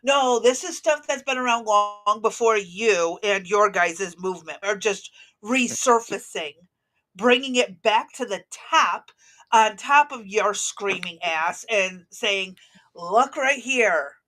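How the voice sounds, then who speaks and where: A woman's voice: medium pitch, measured pace, a bit bright, poor audio. A woman hosting a casual political commentary show, speaking in a blunt, combative, profane way. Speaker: talk show host.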